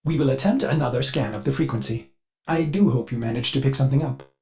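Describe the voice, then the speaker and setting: A man's voice: medium pitch, fast talker, a little mellow, lightly acted. A man voicing a fussy, eager ship artificial intelligence in a video game, polite and theatrical, with a manic edge under the courtesy. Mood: dutiful, reporting. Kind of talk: scripted video game character dialogue.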